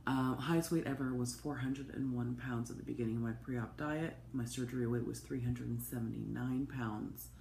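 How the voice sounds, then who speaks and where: A woman, hoarse from a cold, giving a chatty, open personal update to camera with plenty of ums and asides. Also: a bass voice, moderate pace. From Canada. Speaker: vlogger. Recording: echoey room with faint noise.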